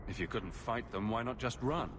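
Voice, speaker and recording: An actor playing a confident human adventurer for hire in a fantasy video game, direct and questioning with a wry edge. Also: male voice, medium pitch, moderate pace, noisy background, natural voice. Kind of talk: video game cutscene acting.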